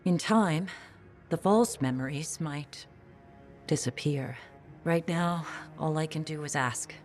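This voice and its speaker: An actress playing a gentle, confused woman in video game dialogue who speaks hesitantly about uncertain memories. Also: deep voice, faint music behind. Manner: hesitant, halting, soft.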